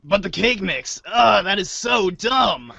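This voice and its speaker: A man voicing an excitable, whiny boy hero in a read-along storybook, with exaggerated exclamations. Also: sits high, slow, creaky, breathy edge, dry recording, full character voice. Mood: indignant. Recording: dull lo-fi audio.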